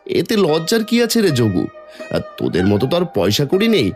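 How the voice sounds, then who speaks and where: A man's voice: on the high side, wildly swooping pitch, a little fast, faint music behind. An actor playing a humble, poor, earnest village father in a cartoon folktale. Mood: defensive. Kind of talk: Bengali animated folktale dubbing.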